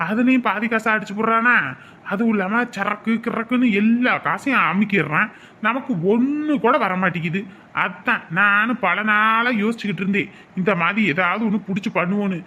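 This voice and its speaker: A man's voice: high voice, fairly quick, bright, forceful tone. An actor playing a scheming, aggrieved male house painter, talking in speech in drama dialogue. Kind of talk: film or serial drama dialogue. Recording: dull lo-fi sound, faint noise.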